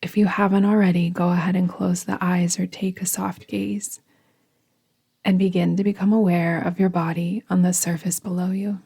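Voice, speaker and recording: A woman guiding a yoga nidra meditation in a soothing, gentle, deliberate manner, often trailing off into a whisper. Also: on the low side, flat delivery, vocal fry, breathy edge. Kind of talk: guided meditation narration.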